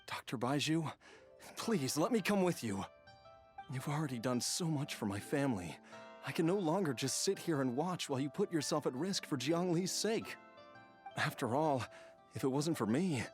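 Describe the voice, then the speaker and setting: A man's voice: fairly high pitch, natural voice. A man playing an earnest, humble husband and father in a video game, speaking politely and pleadingly. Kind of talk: scripted video game voice acting. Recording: background music under the voice.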